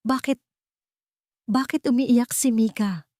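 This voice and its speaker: A woman's voice: on the high side, slow pace, darkish tone, no echo, voice pushed up. Woman doing a confused husband in an audio story, strained and dazed, dry recording. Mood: bewildered. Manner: bewildered, strained.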